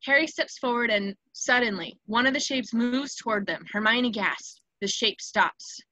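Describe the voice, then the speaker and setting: A woman's voice: fairly high pitch, moderate pace, bright, forceful tone, no room sound, rough recording. A woman reading stage directions as the narrator of a scripted screenplay table read.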